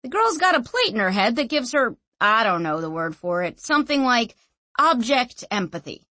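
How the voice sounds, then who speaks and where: Woman voicing a breezy, high-pitched ancient talking object. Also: sing-song, moderate pace, pushed and bright, no echo. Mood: breezy, helpful. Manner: casual, slangy, matter-of-fact explaining. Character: ancient sentient object speaking through a young woman, breezy.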